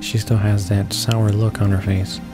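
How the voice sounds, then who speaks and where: A low-voiced man reads a visual novel aloud in a very monotone way. He halts and stumbles over words while game music plays behind him. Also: moderate pace. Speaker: gamer reading along.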